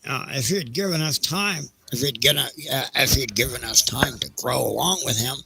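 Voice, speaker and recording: A man's voice: mid-range voice, normal speed, gravelly, sharp tone. A man playing a petulant, self-righteous railroad executive in an audio play, speaking in a hesitant, defensive and indignant way.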